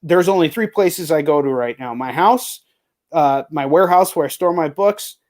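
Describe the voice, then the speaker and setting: A man's voice: on the high side, moderate pace, darkish tone. A man chatting casually and candidly as a podcast host, rambling and swearing freely. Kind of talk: casual chat.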